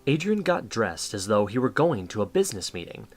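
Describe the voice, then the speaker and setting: A man's voice: lowish voice, sing-song, brisk, soft background music. A man reading scripted story narration as the narrator of an audio drama.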